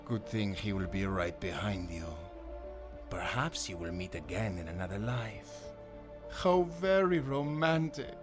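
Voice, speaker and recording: A man's voice: lowish voice, animated, unhurried, over music. A man playing a cruel, gloating exiled prince in an audio drama, speaking in a mocking, theatrical, menacing way. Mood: gloating menace. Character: cruel, gloating exiled prince, scheming villain taunting a captive. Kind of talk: scripted audio roleplay drama.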